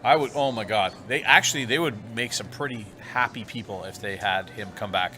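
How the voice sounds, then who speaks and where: A man's voice: lowish voice, fairly quick, pushed and bright. A man co-hosting a gaming show, chatting casually with blunt, joking remarks. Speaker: podcast co-host.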